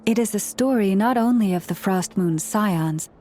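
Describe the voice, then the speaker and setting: A woman playing a serene, modest young priestess in a video game, speaking in a measured, solemn, formal way. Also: medium pitch, warm tone, slight background noise, lightly acted. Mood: solemn, reverent. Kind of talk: video game storytelling dialogue.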